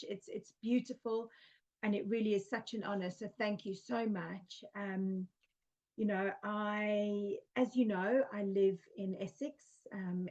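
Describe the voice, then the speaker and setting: Heartfelt woman in her forties, slightly muffled. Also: mid-range voice. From South Africa. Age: 40s. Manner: soft, heartfelt, many fillers and restarts. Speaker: guest. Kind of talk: casual spiritual conversation.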